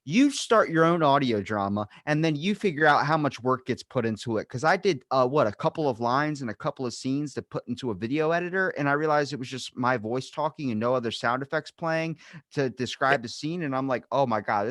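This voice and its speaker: A man's voice: medium pitch, lively intonation, a little fast, a bit bright, no echo, clean studio sound. A man hosting a podcast in casual chat, blunt and sweary, often acting out quoted reactions as he talks. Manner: blunt, sweary, animatedly quotes himself and others. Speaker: podcast host.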